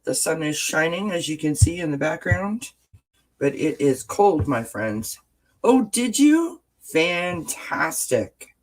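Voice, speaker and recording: A woman hosting a casual livestream from home with a stuffed-up nose, greeting viewers in the chat by name with pet names and often pausing on filler words. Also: deep voice, very animated, darkish tone, rough recording. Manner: frequent filler words and restarts. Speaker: livestream host. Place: indoors at home, lights off, sunny window behind.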